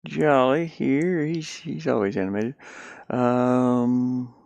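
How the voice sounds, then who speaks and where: A man thinking out loud in casual livestream talk, muttering to himself as he works on a game. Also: medium pitch, steady tone, slow, gravelly, no room sound. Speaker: indie game developer streaming.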